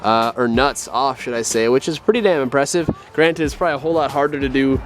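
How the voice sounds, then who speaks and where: A young man casually chatting to viewers while working on a car engine, excited and slangy. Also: medium pitch, fast, soft background music. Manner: excited, slangy, talks to viewers as "you guys". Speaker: car build vlogger. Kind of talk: casual garage chat to camera.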